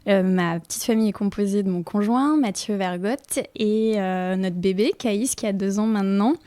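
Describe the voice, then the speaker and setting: Guest, woman from the Basque coast, chatty and lively, dry close sound, small room. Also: mid-range voice, moderate pace, no room sound. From French Basque coast. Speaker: guest, independent designer. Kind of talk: casual conversation. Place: small apartment indoors.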